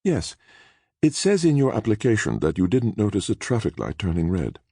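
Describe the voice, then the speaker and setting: A man voicing a brisk, unfriendly woman interviewer who speaks in clipped, efficient tones with a British accent. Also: lowish voice, huge pitch swings, dark tone, husky, no echo, studio quality, voice pushed up, heavily acted. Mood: clipped, probing.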